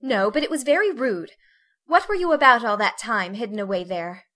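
Woman reading as a genteel young lady, higher and brighter than the narration, soft and plaintive, storybook reading. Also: animated, moderate pace. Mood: fretful.

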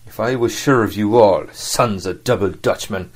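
A man's voice: lowish voice, close to an everyday voice. Man acting out a sly, commanding sea cook. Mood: scheming. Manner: rustic sailor talk, emphatic, drawn-out delivery. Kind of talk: audiobook character acting.

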